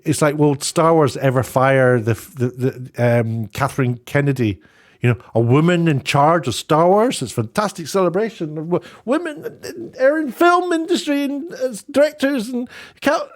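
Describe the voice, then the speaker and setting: Emphatic, sardonic man. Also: a little high. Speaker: online commentator. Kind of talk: informal opinionated commentary.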